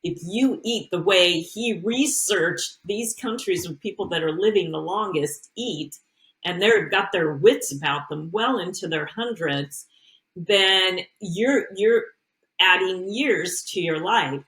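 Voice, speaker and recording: A woman with a fairly low voice talks as a health coach on an interview show. Her advice comes out earnest and emphatic, and she restarts her sentences often, the way people do in relaxed conversation. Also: slow, poor audio. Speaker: health and nutrition coach, guest. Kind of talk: casual interview talk.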